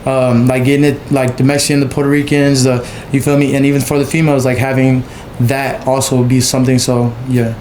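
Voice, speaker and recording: A man speaks in a fairly flat, even tone and rambles through his thoughts with plenty of fillers. He is a podcast guest. The recording carries a roomy echo. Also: medium pitch, fairly quick, slight background noise. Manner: rambling, many fillers and 'you feel me'. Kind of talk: casual chat. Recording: echoey room.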